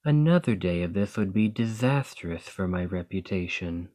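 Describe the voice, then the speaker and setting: A man voicing a proud male lamia noble in an ASMR roleplay, speaking in a formal, measured and wry way. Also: low voice, expressive, mellow. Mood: thoughtful.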